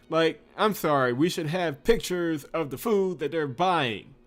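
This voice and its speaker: A man's voice: on the high side, fairly bright tone. A man giving wry, teasing commentary on a game while reading its narration aloud. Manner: wry, teasing, mock-indignant. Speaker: game streamer. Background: game music.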